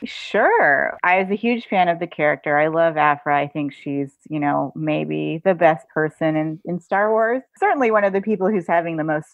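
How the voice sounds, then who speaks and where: A woman's voice: deep voice, moderate pace, few pauses, dry recording. A woman giving a casual, chatty interview as a guest author, in a giggly manner. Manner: chatty, giggly asides mid-sentence. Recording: thin phone-like call audio.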